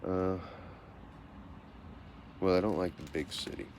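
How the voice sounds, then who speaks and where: A man in his forties from Texas with a deep voice, reading out questions and answering them plainly in a casual self-interview. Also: fairly even intonation, takes it slowly. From Texas, USA. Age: 40s. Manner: reads each question, then answers plainly and matter-of-factly. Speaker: vlogger answering a question tag. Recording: noisy home recording.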